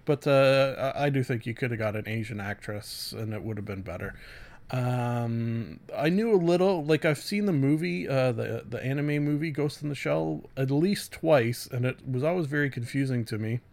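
A man talks through casual reviews as a solo podcast host, in a medium-pitched voice. His delivery is halting and conversational, full of asides, self-interruptions and corrections. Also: gravelly, darkish tone. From Canada.

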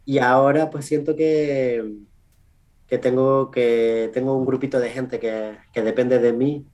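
A man with a Canarian Spanish accent giving casual interview answers as a research scientist, in an informal, halting way with self-corrections and tag questions. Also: mid-range voice, fairly even intonation, darkish tone. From Canary Islands, Spain. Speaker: research scientist, podcast guest.

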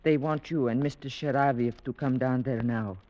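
A medium-pitched actor playing a household servant, deferential and subdued. The delivery is 1950s radio drama, on a dull vintage recording. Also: male voice, fairly even intonation, normal speed, darkish tone, close to an everyday voice. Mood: subdued, anxious.

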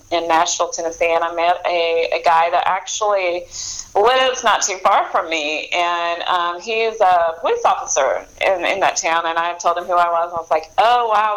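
Chatty woman with a low voice. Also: a bit bright, low-quality recording. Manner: chatty, many fillers and restarts. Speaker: author guest. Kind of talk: casual interview chat.

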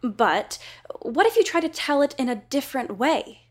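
A spirited young woman helping out at a radio theatre. Her voice is on the high side, with a playful, earnest eagerness in period drama dialogue. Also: very animated, normal speed. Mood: earnest, tentative.

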